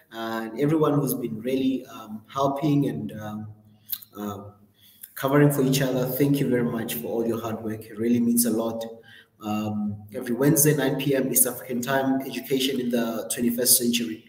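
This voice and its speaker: A man's voice: medium pitch, darkish tone. Man, host, casual rambling announcements, self-correcting, echoey reverberant room. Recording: roomy echo with long reverb.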